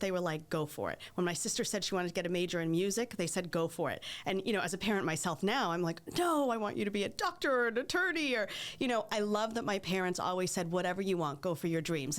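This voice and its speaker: A woman from Greece speaking as a podcast guest in a casual interview, chatty, emphatic and full of anecdotes. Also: on the low side. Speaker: podcast guest, PR professional and former TV news anchor.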